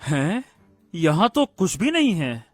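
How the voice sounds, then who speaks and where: Kind young cartoon prince, male actor: slow, theatrical delivery, high voice, excitable. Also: no echo, soft background music, heavily acted. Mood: pondering, puzzled. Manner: theatrical, drawn-out exclamations and hums. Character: excitable kind-hearted young prince of a fairy-tale kingdom. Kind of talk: animated Hindi moral-story cartoon dialogue.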